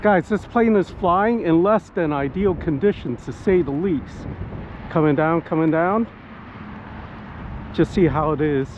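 A man giving casual, excitable live commentary outdoors to viewers as he pilots a model plane. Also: a little high, measured pace. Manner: excitable, whooping, talking to viewers while piloting. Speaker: hobbyist RC pilot and vlogger. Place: outdoors at a flying spot in wind and rain. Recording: muffled outdoor audio with background noise.

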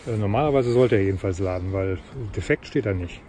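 A man's voice: fairly low pitch, fast talker. A man in his forties chatting casually through a travel vlog, explaining things as he goes and thinking aloud. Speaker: vlogger. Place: outdoors by a car park and in a car. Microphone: phone camera at arm's length. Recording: loud outdoor noise.

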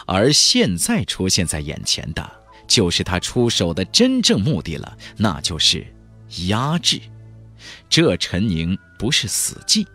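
A man's voice: low voice, wildly swooping pitch, measured pace, a bit bright, faint music behind. A man narrating a fantasy audiobook in a dramatic, scripted storytelling style.

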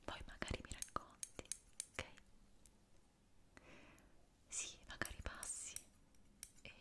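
A woman whispering softly in an ASMR roleplay as an attentive barber, gently reassuring her client. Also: takes it slowly. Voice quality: soft, unvoiced whisper.

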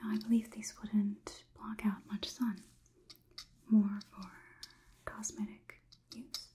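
A young woman playing a polite, curious, clinical robot overlord in a whispered ASMR roleplay, with measured, formal delivery. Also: mid-range voice, level tone, warm tone, slight background noise, lightly acted. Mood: curious, deliberate. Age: young adult. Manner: slow, measured, deliberate, formal wording. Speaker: ASMR performer.

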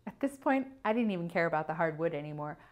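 A woman's voice: lowish voice, lively intonation, fast. Woman narrating a home renovation story. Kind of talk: scripted voice-over narration.

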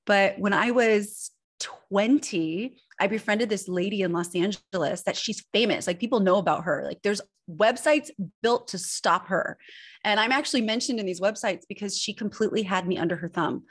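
A woman's voice: mid-range voice, moderate pace, husky. American woman candidly telling her story. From Northern California, United States. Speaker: guest, personal branding image expert. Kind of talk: conversational interview, personal storytelling. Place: indoor room at home. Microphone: video call microphone.

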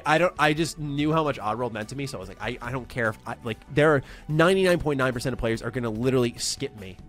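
A young man talking casually to camera from his gaming room, upbeat and animated. His voice is mid-pitched and close on a desk microphone. Faint music sits underneath. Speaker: gaming YouTuber and voice actor. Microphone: large desk mic close up.

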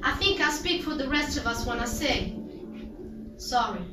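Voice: sits high, moderate pace. A teenager performs a rehearsed spoken-word monologue in an earnest, declamatory manner. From Morocco. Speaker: student performer. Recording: echoey, distant mic, loud music behind. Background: loud backing music.

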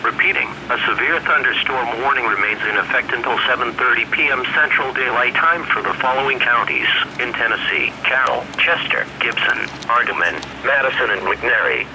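A man's voice: mid-range voice, moderate pace, hardly any pauses. A man's synthetic-sounding voice reading a formal, impersonal emergency weather bulletin from a radio speaker. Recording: noisy weather radio speaker, re-recorded in room.

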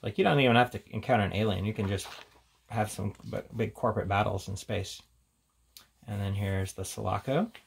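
A man's voice: on the low side, brisk, vocal fry, slightly rough. A man giving an informal, offhand show-and-tell commentary as a reviewer, casually talking through what is in front of him. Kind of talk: casual hands-on book showcase commentary.

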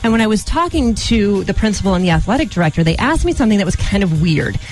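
A woman's voice: medium pitch, big pitch swings, fast, darkish tone, faint music behind. A woman with an American accent co-hosting a radio show in casual, insistent banter. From Colorado, United States. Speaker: radio morning show co-host.

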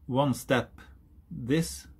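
Man, 40s, Norwegian accent, husky, halting non-native English, casual DIY explainer. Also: mid-range voice. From Norway. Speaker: hobbyist YouTuber. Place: outdoors on a hilltop, then indoors at a workbench.

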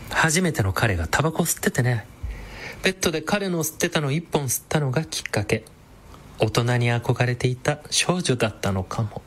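An actress playing a brash, sardonic villainous woman with a sharp-tongued, theatrical manner in a comedic radio drama. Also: a bass voice, a little hiss or hum. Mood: wistful.

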